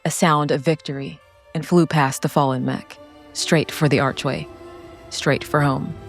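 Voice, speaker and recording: A woman narrating a scripted, dramatic solo role-playing story in a solemn, deliberate way with short phrases. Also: sits low, steady tone, creaky. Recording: background music under the voice.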